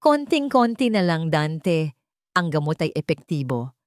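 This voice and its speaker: A woman's voice: low voice, sing-song, a little slow, no echo, clean studio sound. A woman with a Filipino accent voicing a cold, calculating, cruel female schemer in a story, with scornful delivery.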